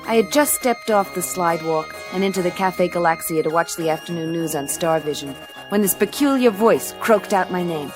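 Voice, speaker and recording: An actress playing a tough, wisecracking female private eye in a science fiction noir radio play, with a terse, deadpan delivery. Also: on the low side, brisk, close to an everyday voice, low-quality recording. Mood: wry, narrating. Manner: terse, deadpan, hard-boiled. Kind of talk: noir detective radio play, dialogue and narration. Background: clear music bed.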